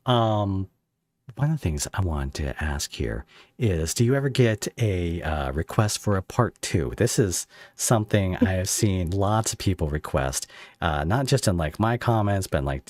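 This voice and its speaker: A man with a low-pitched voice hosts a conversational interview. The sound is dry, with very little room. He words his questions loosely, restarting and repeating phrases as he goes. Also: darkish tone, no echo. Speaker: podcast host and interviewer.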